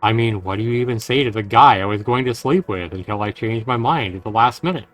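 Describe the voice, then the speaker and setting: A man's voice: lowish voice, fairly quick, a bit bright. A man hosting a game livestream, reading the story text aloud and adding earnest, casual commentary straight to his listeners. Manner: earnest, talking directly to listeners. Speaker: livestream host playing a visual novel. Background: faint game music.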